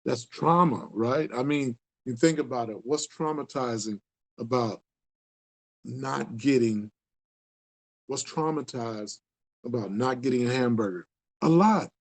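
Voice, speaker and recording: A man's voice: mid-range voice, unhurried, long pauses. Man in his 60s, Upper Midwest accent, earnest, rambling, casual talk to camera. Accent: Upper Midwest American. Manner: earnest, rambling, repeats himself for emphasis. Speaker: community outreach leader.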